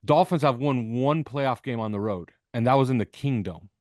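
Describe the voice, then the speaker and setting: Male sports podcast analyst, average pitch, emphatic repetition, dry close sound. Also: normal speed, darkish tone, no echo. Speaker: podcast host appearing as guest analyst. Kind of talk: sports interview commentary. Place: video call with backdrop behind.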